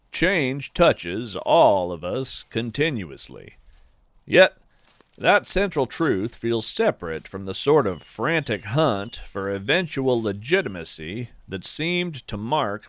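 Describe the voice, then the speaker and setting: Slow, expressive male essay reader. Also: medium pitch, no room sound. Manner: measured, musing, lingering on phrases. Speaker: podcast host and writer reading his essay. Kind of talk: slow reflective scripted narration.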